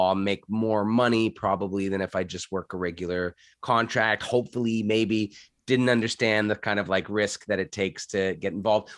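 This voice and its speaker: A man's voice: lowish voice, a bit bright, dry recording, studio quality. A Canadian man speaking as an interview guest, telling stories in a casual, candid, conversational way and often interrupting himself. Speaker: interview guest, entrepreneur and former actor.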